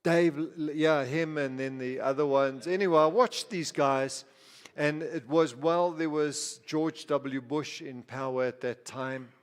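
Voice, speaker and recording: A man's voice: a little high, fairly even intonation, measured pace, clear, resonant tone. A man from Zimbabwe preaching a sermon as pastor, talking conversationally with frequent restarts, asides and repeated words. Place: church. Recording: reverberant hall.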